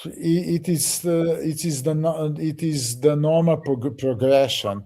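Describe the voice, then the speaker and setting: A man leading a project, explaining it to a group haltingly, with restarts and fillers. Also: fairly high pitch, fairly even intonation, warm tone. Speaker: project leader answering questions.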